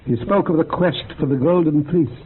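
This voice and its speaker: An actor playing an earnest, bookish occult researcher in a radio drama, lecturing in a measured, gravelly, husky voice. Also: male voice, fairly high pitch. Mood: scholarly, absorbed. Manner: measured, lecturing, quotes texts with relish. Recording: muffled phone-like old radio recording.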